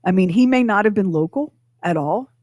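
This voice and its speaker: A woman's voice: mid-range voice, expressive, fairly quick, a little mellow, no echo. Dry studio sound, conversational woman host. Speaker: radio show co-host. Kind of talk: casual conversational podcast talk.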